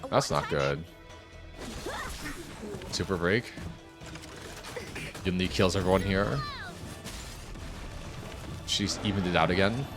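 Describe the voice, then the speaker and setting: A man's voice: low-pitched, takes it slowly, long pauses. A man doing casual live game commentary, rambling and muttering to himself as he plays. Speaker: game streamer. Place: home office. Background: game music.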